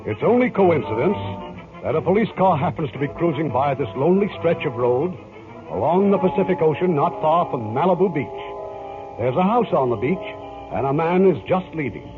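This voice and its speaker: A man's voice: fairly high pitch, animated, moderate pace. A man hosting and producing a 1940s radio drama, delivering formal scripted narration. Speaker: producer and host. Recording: tinny old radio broadcast with music. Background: music.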